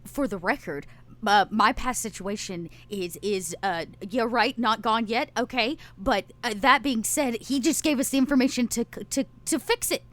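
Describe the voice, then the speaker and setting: A woman's voice: on the high side, normal speed, a bit bright, natural voice. A woman playing a flustered, defensive woman driver in a tabletop game, stammering and blurting her words. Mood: awkward, defensive. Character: Nero, flustered, defensive woman driver of a monster-hunting cell.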